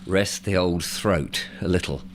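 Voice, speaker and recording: Croaky low-voiced male host. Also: a little slow, a little hiss or hum. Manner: chatty, friendly, rambling asides. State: croaky from a sore throat. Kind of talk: interview hosting.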